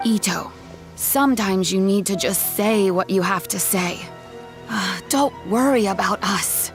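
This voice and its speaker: A woman voicing a calm, plain-spoken grandmother in a video game. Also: mid-range voice, a little slow, natural voice. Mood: gentle, advising. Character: calm, plain-spoken granny advising a young man. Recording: faint game music behind.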